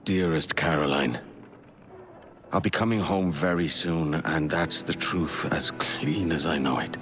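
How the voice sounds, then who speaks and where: An earnest pirate captain in a cinematic game. His voice is very low, tender and homesick, with music playing behind him. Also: a little slow, a bit bright. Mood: tender, hopeful. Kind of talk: dramatic game acting, letter narration.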